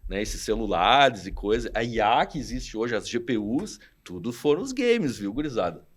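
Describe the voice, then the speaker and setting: Animated storyteller from southern Brazil, man in his 40s, slangy, acts out quotes, mid-pitched voice. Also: normal speed. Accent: southern Brazilian Portuguese. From Rio Grande do Sul, Brazil. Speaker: podcast guest, studio founder. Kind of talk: casual storytelling chat.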